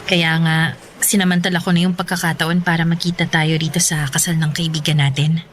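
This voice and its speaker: An actress playing a guilty, frank ex-girlfriend in a Filipino radio drama, apologetic and halting, with a Filipino accent. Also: sits low, flat delivery, noisy background, close to an everyday voice.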